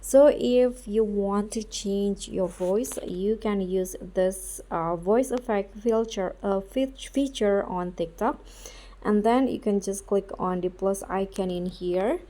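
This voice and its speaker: A woman hosting a step-by-step tutorial voice-over, speaking in a halting way, phrase by phrase. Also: medium pitch, warm tone. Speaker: tutorial host.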